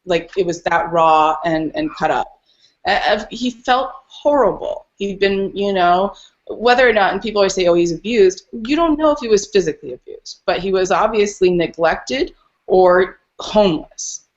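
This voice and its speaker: A woman's voice: mid-range voice, expressive, normal speed, highs cut off. A woman speaking as a dog rescuer and podcast guest, telling stories casually and earnestly. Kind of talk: casual interview storytelling.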